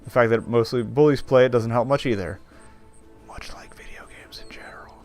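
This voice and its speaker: A man's voice: medium pitch, brisk, darkish tone. A male game streamer reading visual novel narration aloud, with wry, sardonic asides. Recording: faint music behind voice.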